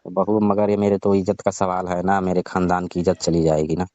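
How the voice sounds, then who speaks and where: A middle-aged father-in-law, played by a man in a phone-call drama. His voice is low and very dry, with no room around it. He coaxes and reassures, anxious over family honour. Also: flat delivery, a little fast, darkish tone, dry recording, natural voice. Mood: troubled, ashamed. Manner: coaxing, reassuring. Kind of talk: staged phone-call drama in colloquial speech. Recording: dull, lo-fi dry call audio.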